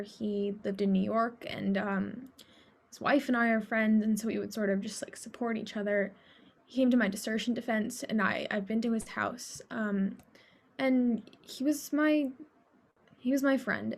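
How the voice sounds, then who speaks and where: A woman's voice: mid-range voice, gravelly, darkish tone. A woman acting in a documentary play as a sorrowful academic, speaking hesitantly and repeating words in a conversational way. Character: sorrowful woman academic mourning an older colleague. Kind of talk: documentary theater monologue, conversational.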